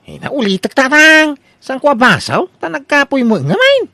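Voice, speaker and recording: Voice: high-pitched, wildly swooping pitch, moderate pace, bright, forceful tone, dry recording, full character voice. A performer with an Ilocano accent playing a whiny, hapless, lovestruck younger son, stammering and pleading in a comedy radio drama. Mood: pleading, defensive.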